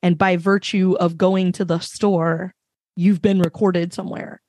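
Low-voiced woman, emphatic panel talk. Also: level tone, unhurried, a little mellow, no echo. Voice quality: reasonably low voice. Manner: emphatic, passionate, many fillers. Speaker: podcast panelist. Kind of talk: casual panel discussion.

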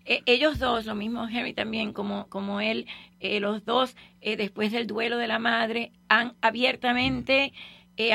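Gravelly bright-voiced woman radio host. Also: a little high, dry recording. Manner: chatty, many fillers and restarts. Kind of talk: casual radio talk. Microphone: studio microphone.

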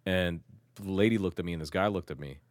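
A man's voice: deep voice, fast, no room sound, studio quality. A man from Turkey talking in loose casual chat, rambling and self-mocking, with lots of swearing and restarts.

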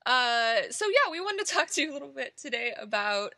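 A woman's voice: high voice, big pitch swings, a little fast, bright, forceful tone, dry recording. A woman co-hosting a casual podcast, playful and wry, laughing often. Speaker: podcast co-host. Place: rural home studio.

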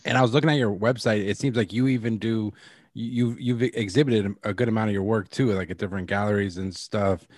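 Laid-back low-voiced male podcast host. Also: fairly even intonation, fairly quick, no echo, studio quality. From Boston. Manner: laid-back, filler-heavy questions. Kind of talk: casual interview chat.

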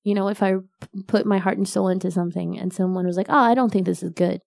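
A young woman whose first language is Arabic, chatting casually as a guest in an interview, self-deprecating and quick to laugh. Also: mid-range voice, steady tone, creaky, darkish tone, no room sound, studio quality. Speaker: novelist guest.

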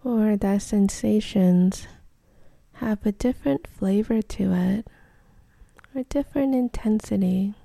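A woman leading a guided meditation in a gentle, soothing, breathy near-whisper with long pauses. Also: mid-range voice, no echo.

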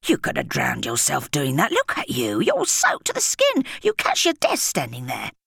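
A woman's voice: a bass voice, huge pitch swings, moderate pace, vocal fry, fairly bright tone, husky, no room sound, studio quality, heavily acted. A woman voicing a pompous, bossy, self-important middle-aged man in an audiobook story. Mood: scolding, bossy. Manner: breathless spluttering and puffed-up boasting.

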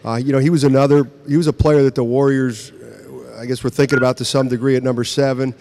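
Hesitant male reporter, mid-pitched. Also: fairly even intonation, fast, vocal fry, warm tone, slight background noise. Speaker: sports reporter. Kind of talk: asking questions on a press conference call.

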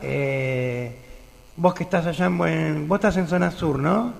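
Gravelly radio host. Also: male voice, fairly high pitch, unhurried, big-room sound. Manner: relaxed, hesitant with many fillers. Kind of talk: casual radio interview.

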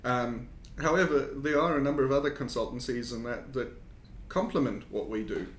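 A man with a South African accent, a consulting engineer talking as a podcast guest in a casual, hesitant way with many fillers and restarts. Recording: echoey room with faint rumble.

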